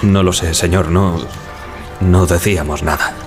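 Deep-voiced, halting shipwreck survivor. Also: male voice, steady tone, faint music behind. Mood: hesitant, respectful. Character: Titanic wireless operator survivor, subdued, respectful witness. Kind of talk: dramatized testimony over music.

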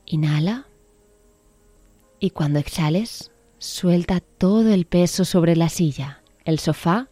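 A woman introduces a meditation in a calm, gentle way. Her voice is deep and mellow, with faint music underneath. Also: slow, dry recording. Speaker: journalist presenting a meditation.

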